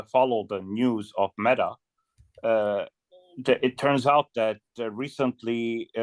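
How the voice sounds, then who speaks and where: Halting man in a dry-sounding chat. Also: lowish voice, no echo. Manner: halting, often repeating words. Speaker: video creator and guest in a community video chat. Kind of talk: casual chat. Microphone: close microphone on a video call.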